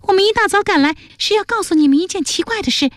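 A woman voicing an excitable, chattering young woman in an audiobook of a historical novel, eager and exclamatory. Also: extremely high pitch, quick pace, no echo, studio quality, pitched above the performer's own voice. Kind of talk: audiobook character voice.

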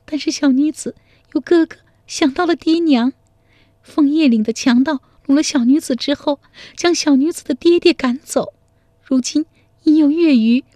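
A woman voicing a timid but quick-witted young woman in a storytelling radio serial, pleading in a soft, lilting way. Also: high-pitched, no echo, clean studio sound, voice pushed up, natural voice.

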